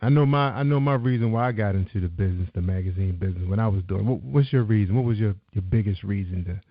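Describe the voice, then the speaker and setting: A man hosting a talk show in a folksy, encouraging way. His voice sits on the lower side. The sound is dry and a little dull, with no room around it. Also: fast talker, dry recording. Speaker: radio talk show host. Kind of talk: casual interview chat.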